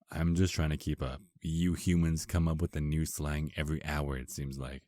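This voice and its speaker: A man's voice: very deep voice, level tone, unhurried, warm tone, dry recording. A man playing an affectionate, teasing vampire husband in an intimate ASMR romance roleplay, murmuring tenderly and flirtatiously. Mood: dreamy, playful.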